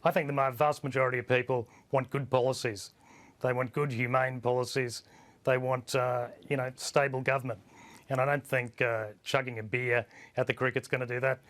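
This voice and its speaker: A man with a husky voice, a guest on a TV panel, plain-spoken and hesitant with many fillers. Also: mid-range voice, flat delivery, a little fast, fairly bright tone. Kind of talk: live TV panel discussion. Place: remote studio link.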